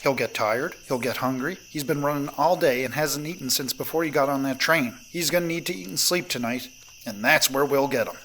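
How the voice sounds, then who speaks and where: An actor playing a confident, calculating pursuer who reasons in a measured way, in an audio play. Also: male voice, mid-range voice, fairly bright tone, a little hiss or hum, lightly acted.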